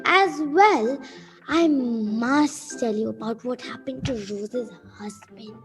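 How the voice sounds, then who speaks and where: Woman doing a chatty gushy mom in a radio play, high voice, takes her time, music behind. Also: slow pace. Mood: gushing. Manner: effusive, rambling, exclamatory. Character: chatty, gushing, oblivious mother, Madame Doris. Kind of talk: amateur radio play acting.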